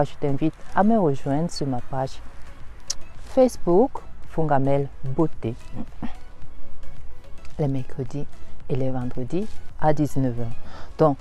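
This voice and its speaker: A woman's voice: low voice, lively intonation, takes it slowly, warm tone, soft background music. A woman giving a reflective spiritual talk as a teacher, musing aloud and returning again and again to the same rhetorical questions.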